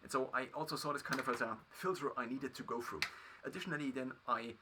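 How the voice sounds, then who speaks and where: A man with a German accent, a native German speaker, talking through his past as a video creator in a halting solo monologue full of restarts. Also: mid-range voice, background noise. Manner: halting, many restarts and fillers.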